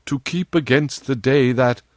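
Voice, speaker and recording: A man's voice: medium pitch, unhurried, a little mellow, no room sound, clean studio sound, voice pushed up, lightly acted. A man voicing a deferential, anxious nobleman in a storybook, with formal, pleading archaic speech. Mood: cautious, respectful. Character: deferential nobleman courtier addressing his king, anxious and humble.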